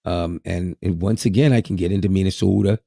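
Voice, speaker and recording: A hoarse man from Canada, co-hosting a casual podcast in a rambling way, with restarts and chuckles. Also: low voice, fairly even intonation, brisk, dark tone, no echo, clean studio sound. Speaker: podcast co-host.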